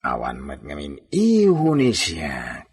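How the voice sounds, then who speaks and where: A man's voice: rumbling low, huge pitch swings, takes it slowly, husky, exaggerated voice. An actor playing a suave, wealthy businessman friend in a radio drama, drawling theatrically and sprinkling in Spanish words. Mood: suave, scheming. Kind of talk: Ilocano radio drama acting.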